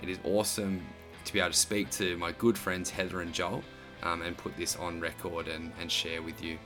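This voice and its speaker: A man's voice: low voice, little pitch movement, brisk, music in the background. A man in his thirties hosting a podcast interview over a video call, enthusiastic and rambling, with lots of restarts. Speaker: podcast host. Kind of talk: friendly casual interview chat.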